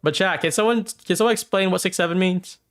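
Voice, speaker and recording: A man's voice: high voice, quick pace, fairly bright tone, soft background music. A man in his thirties chatting casually on a livestream while trading, stammering and repeating words, laughing often. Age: 30s. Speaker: streamer trading futures live.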